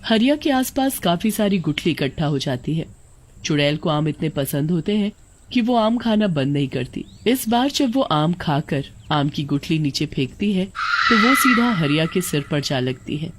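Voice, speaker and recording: A woman's voice: fairly low pitch, animated, moderate pace. A woman storyteller reading a scripted tale as narrator.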